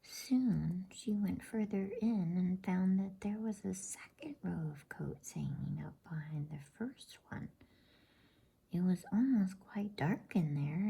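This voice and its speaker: A woman reads a bedtime story as ASMR in a very breathy, hushed whisper. Her delivery is slow and gentle, with a mellow, dark softness to it. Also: fairly low pitch.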